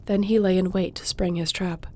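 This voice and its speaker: A woman playing a loyal young sorceress who advises a king, speaking in a measured, reassuring way. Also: on the low side, fairly even intonation, mellow, lightly acted. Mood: steady. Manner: measured, reassuring, reads reports aloud. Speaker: audio roleplay performer. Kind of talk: fantasy audio roleplay.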